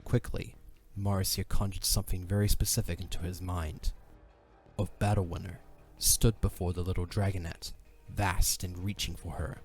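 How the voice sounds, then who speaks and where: Low-voiced male storyteller over soft music. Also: little pitch movement, normal speed. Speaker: narrator. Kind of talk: dramatic scripted fantasy narration.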